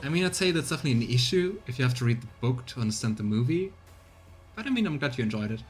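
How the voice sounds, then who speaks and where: A man's voice: mid-range voice, expressive, rapid-fire, soft background music. A man giving casual let's play commentary and reading game text aloud. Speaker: streamer. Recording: clear background noise.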